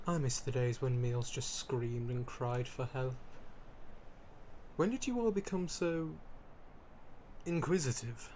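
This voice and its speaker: Male actor as fussy vampire lord, mid-pitched, unhurried delivery, pompous, easily flustered, theatrical, ASMR roleplay, ambient noise behind. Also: darkish tone, lightly acted, rough recording. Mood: exasperated, indignant. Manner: pompous, old-fashioned wording, flustered stammering, dramatic emphasis. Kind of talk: ASMR roleplay character acting, addressing the listener.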